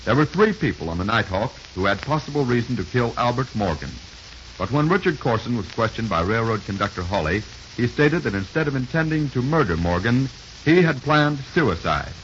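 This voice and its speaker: Animated, forceful 1930s male radio announcer. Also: mid-range voice, fairly quick. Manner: dramatic, formal, declamatory. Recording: hissy, muffled 1930s radio recording.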